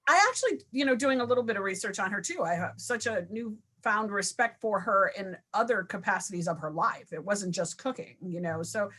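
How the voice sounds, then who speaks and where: A woman actor talking about her role in a casual video-call talkback, halting with many fillers. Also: medium pitch, a bit bright.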